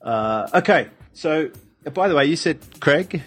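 A man's voice: fairly high pitch, lively intonation, unhurried, soft background music. Upbeat man hosting a podcast. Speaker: podcast host. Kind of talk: casual podcast chat.

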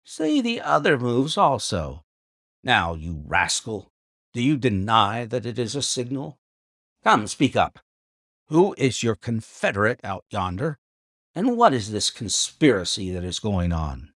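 A man's voice: on the low side, very animated, measured pace, a bit bright, no room sound, clean studio sound, full character voice. A man doing a stern, commanding baronet.

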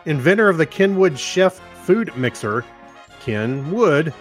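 A man co-hosting a podcast in a casual style, low-key as he reads short items and banters. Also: big pitch swings, measured pace, soft background music. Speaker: podcast co-host.

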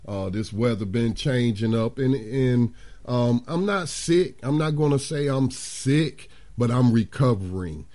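An older man co-hosting a radio show in casual, folksy chat, his voice slightly hoarse as if he is losing it. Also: mid-range voice, animated, unhurried, mellow. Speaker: radio co-host.

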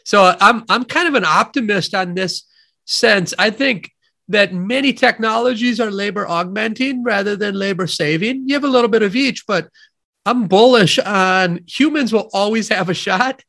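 A man from Wisconsin with a nasal Midwestern American accent, speaking as a guest economist on a podcast in a measured, thoughtful way. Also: sits high, bright, forceful tone. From Wisconsin, USA. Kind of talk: podcast interview, explaining ideas.

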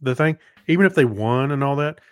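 A man co-hosting a podcast, chatting casually about shows in a halting way, often searching for words. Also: medium pitch, expressive, no room sound, studio quality. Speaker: podcast co-host.

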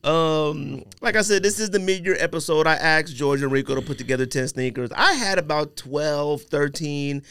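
A man's voice: fairly high pitch, moderate pace, few pauses, a bit bright, clean tone, no echo. A man chatting casually as a podcast host, with a slangy, bantering way of talking. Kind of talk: casual chat.

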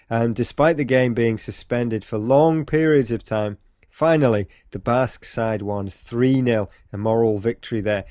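A man's voice: medium pitch, darkish tone, no echo, studio quality. A man hosting a football podcast, reading a news segment with a few ums. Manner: reads from notes with filler ums. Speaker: podcast host.

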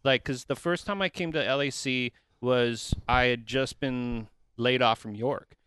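A man's voice: mid-range voice, unhurried, fairly bright tone, a little hiss or hum. A middle-aged man from England hosting a podcast, chatting casually with many fillers. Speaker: podcast host.

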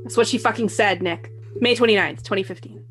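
Female podcast narrator, blunt and snarky, medium pitch, dry asides over soft background music. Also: brisk, rough recording. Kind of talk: sarcastic commentary on a dramatic reading.

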